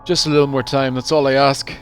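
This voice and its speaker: Man acting a wailing, desperate middle-aged boss, raised pitch. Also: fairly even intonation, fast talker, creaky, a little hiss or hum. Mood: pleading. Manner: pleading, wailing.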